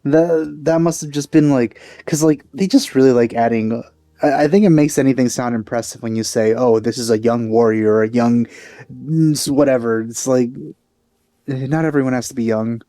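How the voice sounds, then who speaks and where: A man's voice: mid-range voice, moderate pace, warm tone, no room sound. A man giving casual let's play commentary in a rambling, hesitant way, often correcting himself, close to the microphone.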